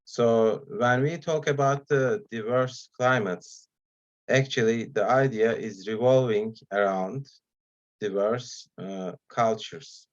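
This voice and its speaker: A man whose first language is Turkish gives an online talk as guest speaker, pausing often with fillers between phrases. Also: mid-range voice, highs cut off. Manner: hesitant, many pauses and fillers.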